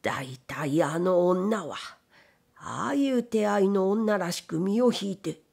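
A woman's voice: on the low side, lively intonation, slow, vocal fry, darkish tone, husky, exaggerated voice. A woman reading the part of a proud, bitter, grieving old blind factory owner in a storybook, with weary old-fashioned speech. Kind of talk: dramatic literary reading.

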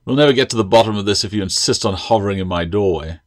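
A man's voice: fairly low pitch, fast talker, lightly acted. A man voicing a stern, pompous older schoolmaster with a snapping, sarcastic manner, in an audiobook fantasy tale. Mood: stern, scornful.

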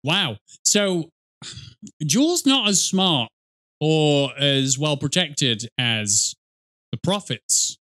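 A man's voice: fairly high pitch, sing-song, extremely slow, bright, forceful tone. A middle-aged man giving casual, rambling, wry commentary as he reacts to video.

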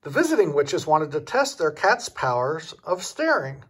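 An older man reads a children's story aloud with a very animated delivery. His voice is husky and sits fairly high for a man, like a grandfather at storytime. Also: moderate pace.

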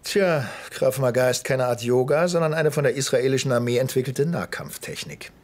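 A man's voice: mid-range voice, moderate pace, heavy background noise. A middle-aged man narrating a story in a wry tone for a dubbed sitcom.

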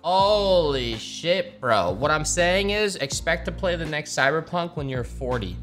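A man in his thirties streaming games, chatting casually with loud profanity and excitable trash talk. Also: a little high, a little slow, soft background music. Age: 30s. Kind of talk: casual gaming stream chat.

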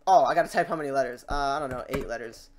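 A man hosting a casual software tutorial, rambling and thinking aloud as he works. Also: medium pitch, racing. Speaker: tutorial host. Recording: clearly noisy mic, keyboard clicks. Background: typing and mouse clicks.

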